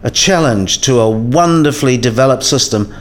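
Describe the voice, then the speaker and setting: A man's voice: mid-range voice, lively intonation, moderate pace, natural voice. A man playing a patient, scholarly professor in a radio play, explaining things in a didactic way.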